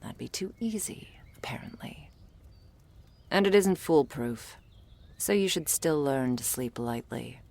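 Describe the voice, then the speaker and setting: A woman playing a gruff, world-weary female monster hunter in an ASMR audio roleplay, curt, sardonic and bossy as she addresses the listener. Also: animated, measured pace, darkish tone, heavily acted. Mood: instructive, wry. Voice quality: low, husky, breathy with gravelly creak.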